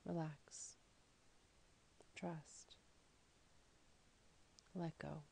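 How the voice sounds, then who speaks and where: A woman leading a guided meditation in a soothing, deliberate manner, with phrases that trail off softly. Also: low-pitched, steady tone, slight background noise. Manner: soothing, slow and deliberate with soft, breathy phrase endings. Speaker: yoga nidra guide.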